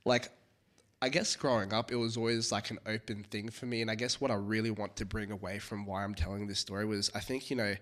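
A man from Australia talks as a podcast guest in a relaxed, meandering way. His voice sits somewhat low, and his sentences stretch out with lots of "um" and "you know.". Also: brisk. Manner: relaxed, rambling, many fillers. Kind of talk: casual chat.

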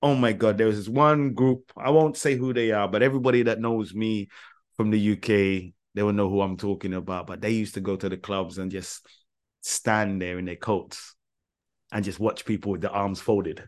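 A man's voice: fairly low pitch, moderate pace. Teasing man chatting on a podcast. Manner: relaxed, teasing, rambling anecdotes. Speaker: podcast co-host. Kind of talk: casual chat.

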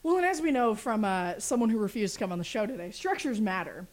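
A woman's voice: mid-range voice, expressive, fast talker. A young woman from Portland hosting a college radio talk show, teasing her co-host and guest with a playful, laughing manner. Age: young adult. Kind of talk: casual banter and interview.

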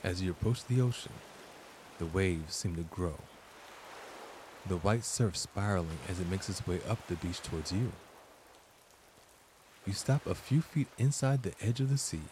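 Deep-voiced man guiding a meditation. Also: animated, noisy background. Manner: deliberate, soothing, with long pauses between short phrases. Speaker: meditation guide and podcast host. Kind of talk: scripted guided meditation narration.

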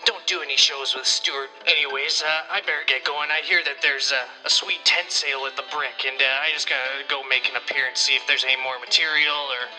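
A man's voice: medium pitch, steady tone, brisk, hardly any pauses, sharp tone. A man playing a self-important small-town comedy promoter, rambling hesitantly and pompously through a voicemail-style message with petty threats. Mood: smug, veiled threat. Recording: phone call with faint music.

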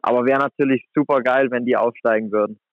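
A man's voice: mid-range voice, fast, dry recording. Young man on a phone line. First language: German. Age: 20s. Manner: relaxed, chatty, many fillers like "sag ich mal". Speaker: guest handball player. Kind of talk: casual interview.

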